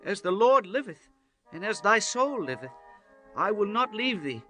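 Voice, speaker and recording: An actor playing earnest, deferential biblical servants and disciples, speaking formal archaic lines in a dramatized scripture reading. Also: male voice, high voice, big pitch swings, moderate pace, bright, forceful tone, faint music behind, exaggerated voice. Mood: devoted, firm. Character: earnest, deferential men: messengers, pleading captain, loyal disciple.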